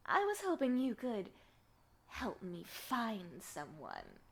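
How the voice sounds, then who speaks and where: A woman's voice: medium pitch, wildly swooping pitch, slow, vocal fry, breathy edge, full character voice. A woman voicing a deranged, sadistic female assassin devoted to her queen in an animation fandub, with a menacing, sing-song delivery. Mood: sinister, playful. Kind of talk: dramatic animation fandub acting.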